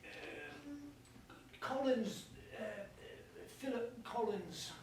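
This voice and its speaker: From far across an echoey room comes a high-pitched bookish prince, played by a man, posing as a timid old man. He stammers theatrically and slowly through a staged verse play, with some noise behind him. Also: vocal fry, exaggerated voice. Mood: timid, evasive.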